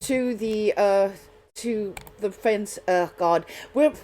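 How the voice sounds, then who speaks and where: A middle-aged woman talks as a radio host at a medium pitch. Her delivery is casual and unscripted, wandering along and repeating words while she works out what to say. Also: takes it slowly, warm tone. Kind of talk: casual chat.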